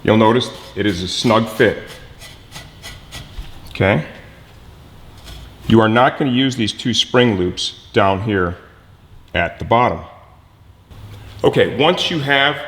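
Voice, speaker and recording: A man presenting a hands-on mechanical how-to, instructive and often checking in with a quick "okay". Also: fairly low pitch, fairly bright tone, big-room sound.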